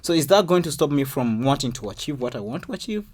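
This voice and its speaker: A man's voice: mid-range voice, quick pace, breathy edge. A man guest on a podcast, talking emphatically and repeating himself for stress in casual conversation. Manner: emphatic, repeats words, rising excitement. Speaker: guest, artist and producer.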